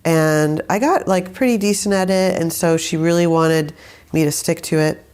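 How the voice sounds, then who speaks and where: A woman's voice: sits low, moderate pace, darkish tone, smooth. A young woman who grew up in Saudi Arabia, chatting casually as an interview guest, rambling with many fillers and restarts. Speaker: guest, musician.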